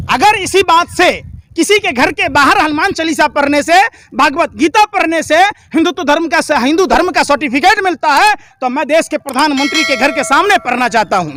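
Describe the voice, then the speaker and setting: A man's voice: extremely high pitch, bright, forceful tone, dry recording, clean studio sound. A man speaking in a heated political argument, combative and indignant, with emphatic repetition and rhetorical questions.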